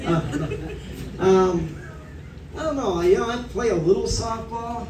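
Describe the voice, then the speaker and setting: A man in his sixties doing a stand-up comedy set, rambling and halting, with lots of fillers. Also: high-pitched, far from the mic. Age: 60s. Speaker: stand-up comic. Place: comedy club stage, audience laughing. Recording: echoey club room recorded from afar with noise.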